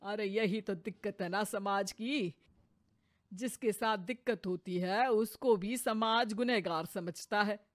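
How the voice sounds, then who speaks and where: A woman's voice: mid-range voice, slow pace, dry recording, close to an everyday voice. A woman playing a cautious middle-aged mother-in-law in a cartoon story, emphatic and protective as she advises. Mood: exasperated. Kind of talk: Hindi cartoon story acting.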